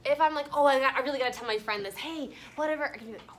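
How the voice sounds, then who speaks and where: A woman teacher reading a story to children and discussing it with them in an animated, conversational way, often checking in with questions. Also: high voice, very fast, slight background noise. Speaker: elementary school teacher. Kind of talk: children's story read-aloud with class discussion. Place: classroom with children.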